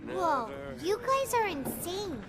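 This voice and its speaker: A woman voicing a tiny, cheeky, excitable childlike fairy companion in a video game, with a teasing way of talking. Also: very high voice, slow, heavy background noise, heavily acted. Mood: amazed. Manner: talks about self in third person, teasing.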